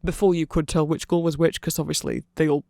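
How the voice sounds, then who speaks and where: A man with a fairly high, crackly voice talks through an ASMR explainer. The recording is very dry. He rambles and corrects himself as he goes. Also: level tone, fast, gravelly, dark tone, no room sound. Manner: rambling, self-correcting, chuckling asides. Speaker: ASMR creator explaining band lore.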